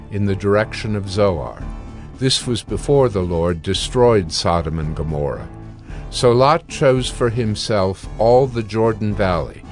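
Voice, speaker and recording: A male narrator reads scripture in a fairly low voice. His delivery is measured and solemn, like formal storytelling, with soft music underneath.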